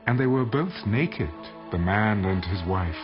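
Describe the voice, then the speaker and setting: A man narrating dramatized scripture in a solemn, measured manner. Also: fairly low pitch, animated. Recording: dull audio under loud music.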